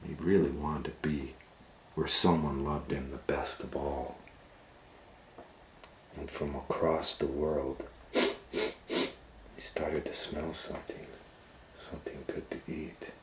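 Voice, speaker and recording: A man reading a picture book aloud to children in a theatrical way, swinging between hushed tones and loud exclamations. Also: rumbling low, little pitch movement, takes it slowly, long pauses. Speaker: storytime reader and educator. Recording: roomy echo, faint background noise.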